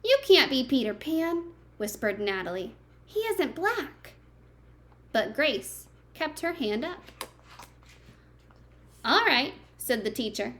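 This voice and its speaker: A woman's voice: high voice, big pitch swings, slow pace, long pauses, fairly bright tone, slight background noise. A woman reading a picture book aloud to young children like a teacher, expressively, with simple chatty asides and questions to her listeners.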